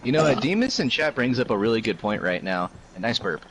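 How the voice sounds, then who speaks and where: Lively man bantering on a livestream. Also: mid-range voice, fast talker, slight background noise. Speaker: stream host relaying audience chat.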